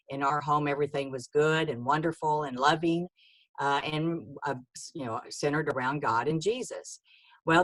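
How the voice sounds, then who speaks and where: A woman's voice: low voice, fairly bright tone, no echo, rough recording. A woman in her sixties with a Texan accent, a guest author telling her life story earnestly, with many fillers and restarts. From Texas, USA. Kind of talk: casual personal storytelling.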